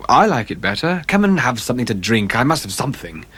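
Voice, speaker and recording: A man voicing a refined, haughty young gentleman with languid, upper-class speech, set a little above his own voice. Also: mid-range voice, very animated, quick pace, breathy edge. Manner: languid and aristocratic, turning panicked.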